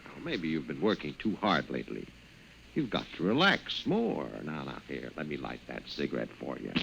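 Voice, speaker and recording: A man's voice: very deep voice, huge pitch swings, moderate pace, creaky, fairly bright tone, full character voice. A man acting a brusque, patronizing executive boss in a 1950s radio drama. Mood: patronizing reassurance. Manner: brusque, paternal, condescending. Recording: dull, noisy 1950s radio recording.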